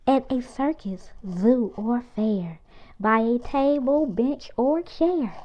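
A woman reads a children's picture book aloud, stretching each line out very slowly in a sing-song voice. Her voice sits fairly high and she points out signs eagerly for young listeners. The recording is a bit dull and lo-fi. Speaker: children's storyteller.

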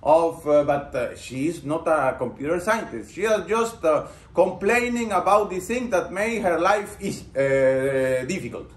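A man's voice: fairly high pitch, unhurried, hardly any pauses. A man lecturing a university class, posing questions to the students and building on their answers. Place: lecture room. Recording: roomy lecture-room echo.

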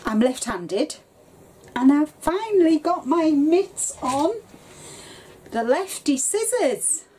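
A woman talks slowly to a webcam at her desk, her voice mellow and unhurried. She rambles from one thing to the next with lots of ums. A faint ambient hum sits behind her. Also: a little high. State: bunged up with a cold, coughing. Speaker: craft vlogger. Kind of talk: casual chat to camera. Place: craft room at home, indoors.

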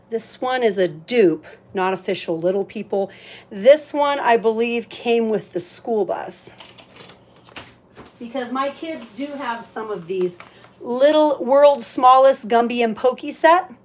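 A woman hosting a live auction in casual, chatty talk, thinking aloud as she handles items. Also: fairly high pitch. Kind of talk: casual livestream sales chat.

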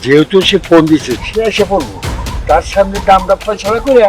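A man with a colloquial Bangladeshi accent playing a polite, even-tempered resort owner in a web drama. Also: sits high, fairly quick, recorded from a distance, music in the background. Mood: conciliatory. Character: polite, calm male resort owner arranging a sale.

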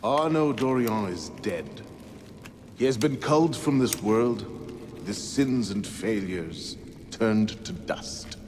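An actor playing a solemn assassin master who leads an initiation rite in a grave, oratorical manner in a game. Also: male voice, lowish voice, lively intonation, slow pace, darkish tone, long reverb tail, slight background noise. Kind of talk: ceremonial video game acting.

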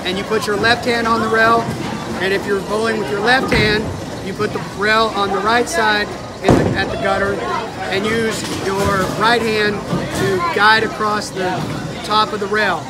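A man vlog host casually explaining things at a bowling alley, relaxed and halting with fillers, filmed on a handheld phone. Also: high-pitched. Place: busy indoor bowling alley. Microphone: handheld phone camera. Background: loud bowling alley crowd and noise.

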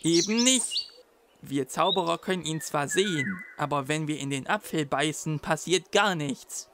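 A man's voice: fairly high pitch, animated, measured pace, heavy background noise. A man voicing an eager young boy who is a wizard's apprentice in a children's audio drama, patiently explaining things. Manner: helpful, explaining.

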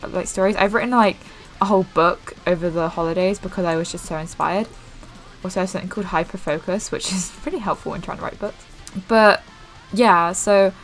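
A young woman hosting a gaming channel, chatting casually while she plays, rambling with many fillers in what she calls a monotone way. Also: lowish voice. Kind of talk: casual gameplay commentary. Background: faint game music.

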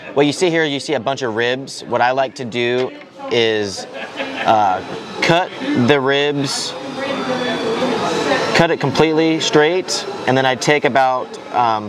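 A young man explains a hands-on job at an easy, halting pace, restarting his phrases and filling gaps with ums. He sits in a large indoor hall, and a steady murmur of people talking carries clearly behind his voice. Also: medium pitch. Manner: loose, filler-heavy explaining with many restarts. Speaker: RC racer demonstrating tire prep. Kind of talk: casual how-to demonstration. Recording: voice over crowd chatter in a big hall. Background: crowd chatter in the hall.

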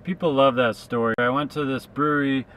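A man in his thirties talks to a camera on his dashboard from inside his car, musing his way through a casual travel vlog. His pitch sits in an ordinary middle range, and the delivery is loose and reflective, with easy fillers. A faint hum of background noise runs underneath. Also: steady tone, fairly quick, a bit bright, poor audio. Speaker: traveling street musician.

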